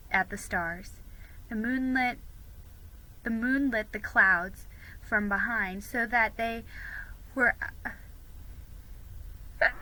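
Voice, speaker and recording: Bright, brisk-voiced woman reading a story expressively. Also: on the high side, poor audio. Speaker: amateur reader hosting dramatic readings.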